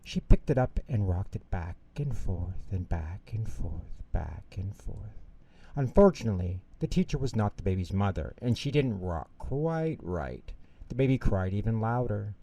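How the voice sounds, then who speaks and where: Male storyteller for kids' bedtime tales: lowish gravelly voice, animated range. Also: a little slow. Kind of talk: expressive children's bedtime story reading.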